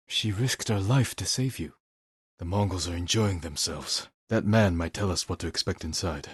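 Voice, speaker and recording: An actor playing a solemn, resolute samurai warrior in a video game, speaking in a measured, earnest, formal way. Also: male voice, low-pitched, husky, no echo, studio quality. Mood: steady, serious. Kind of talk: dramatic video game voice acting.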